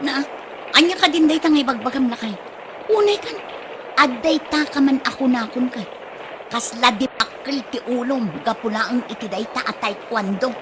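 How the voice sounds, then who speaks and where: A woman's voice: high voice, moderate pace, noisy background, close to an everyday voice. A woman with an Ilocano accent playing a blunt, nagging, easily affronted woman in a comedy radio drama.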